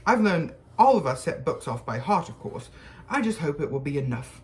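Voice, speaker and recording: A reader voicing a bossy, fast-talking schoolgirl know-it-all in a storybook reading, pitching her high and rushing through her words. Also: huge pitch swings, a little hiss or hum. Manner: rapid, eager, pitched high.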